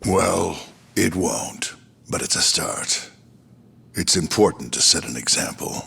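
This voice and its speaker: Gravelly, menacing yakuza captain. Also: male voice, low-pitched, expressive, bright, forceful tone, exaggerated voice. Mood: smug, cold. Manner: deliberate, sneering, commanding. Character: menacing yakuza captain, man, ruthless and smugly self-righteous. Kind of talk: dramatic English game voice acting.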